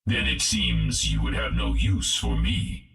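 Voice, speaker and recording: An actor voicing a cold, imperious male robot in a video game, measured and haughty. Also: rumbling low, fairly even intonation, creaky, a bit bright, exaggerated voice. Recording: echoing, distant-sounding processed voice.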